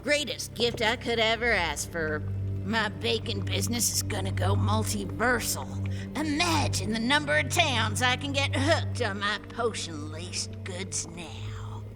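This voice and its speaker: A woman's voice: medium pitch, lively intonation, a little slow. An actress playing a sweetly sinister candy-baking witch villain, folksy and sugary, in an audio drama. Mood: greedy, gleeful. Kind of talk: scripted audio drama acting. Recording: reverberant, music under the voice.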